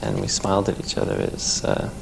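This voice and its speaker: A man's voice: low-pitched, very animated, gravelly, low-quality recording. Young Canadian man in his twenties, talk show guest, hesitant storyteller, audible hiss on the recording. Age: 20s. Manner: halting storytelling with many restarts and fillers.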